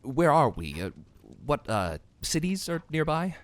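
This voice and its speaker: Flustered innkeeper, wildly swooping pitch. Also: male voice, sing-song, measured pace, no echo. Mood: evasive, flustered. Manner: flustered, stammering, courteous. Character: tired, flustered, obliging male innkeeper. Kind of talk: fantasy audio drama acting.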